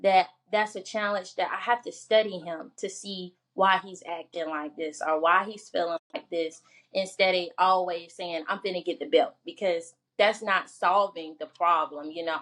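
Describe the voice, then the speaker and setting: A soft-spoken woman guest telling her story earnestly in a casual chat over a video call. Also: on the low side, fairly even intonation, normal speed. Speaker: guest, mother of two.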